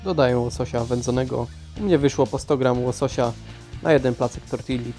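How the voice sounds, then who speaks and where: A man's voice: medium pitch, fairly quick, dark tone. A man giving scripted recipe narration as a cooking host. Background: guitar music.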